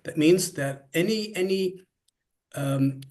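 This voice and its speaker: A man with a somewhat high voice talks through his ideas as an interview guest. He restarts his sentences and repeats words as he thinks aloud. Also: takes it slowly, darkish tone. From Canada. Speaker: interview guest, cross-cultural coach. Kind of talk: conversational interview.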